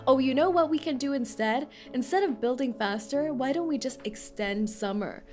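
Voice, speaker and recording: Playful high-voiced woman streamer. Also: normal speed, darkish tone, soft background music. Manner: playful, giggly, reacts to game dialogue. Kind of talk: casual gameplay commentary.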